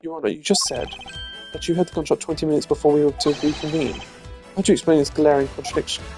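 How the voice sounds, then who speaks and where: A man voicing a composed, dry-witted young prosecutor in a courtroom video game, formal, measured and sardonic. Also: on the high side, fairly even intonation, a little mellow, faint music behind, lightly acted. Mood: pressing. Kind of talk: game character voice-acting.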